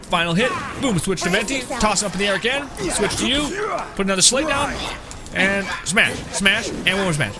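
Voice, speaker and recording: A man's voice: sits high, animated, moderate pace, loud music behind the voice. A man giving casual, rambling gameplay commentary as a streamer, blunt and prone to swearing. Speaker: game streamer.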